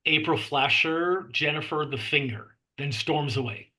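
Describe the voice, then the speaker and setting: A man's voice: a little high, a little slow, bright, forceful tone, low-quality recording. A man reading stage directions as narrator at a script table read.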